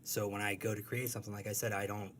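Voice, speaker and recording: A man's voice: lowish voice, level tone, quick pace, a bit bright, a little hiss or hum. A man who is a cartoonist, talking casually in an interview, rambling and correcting himself, laughing easily. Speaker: cartoonist guest.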